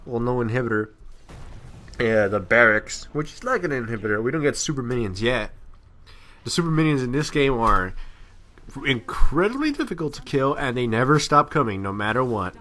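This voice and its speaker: A man's voice: medium pitch, big pitch swings, moderate pace, pushed and bright. Rambling man giving casual game commentary. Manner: rambling, self-deprecating. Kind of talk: casual amateur gameplay commentary.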